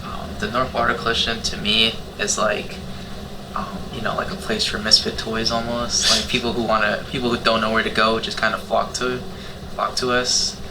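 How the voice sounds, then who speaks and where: Casting: teenage male, low flat voice, rambling casual panelist, noisy room recording. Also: moderate pace. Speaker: youth volunteer organizer.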